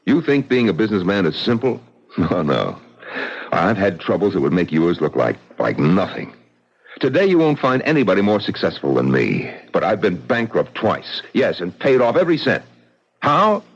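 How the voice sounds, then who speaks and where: An actor playing a blunt, forceful middle-aged businessman and widower in a vintage radio mystery. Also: low voice, wildly swooping pitch, normal speed, breathy edge, exaggerated voice. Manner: blunt and forceful, speaks his mind. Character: blunt, tough-minded male businessman widower. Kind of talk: vintage radio drama acting. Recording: dull old radio broadcast.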